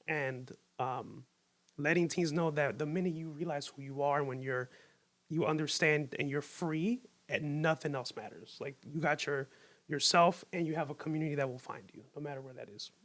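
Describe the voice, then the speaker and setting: Young guy w/ new york accent, kinda high voice, earnest and slangy, lots of "like". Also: normal speed, a bit bright. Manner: open, earnest, slangy with many likes. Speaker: podcast guest. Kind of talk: casual podcast conversation.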